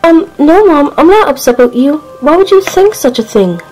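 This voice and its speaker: A woman's voice: high-pitched, expressive, normal speed, a little mellow, faint music behind, rough recording. A woman voicing a jumpy eighteen-year-old girl who stammers and fills with "um"s while covering up a secret, in a toy-figure drama. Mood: flustered. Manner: flustered, stammering, full of "um"s.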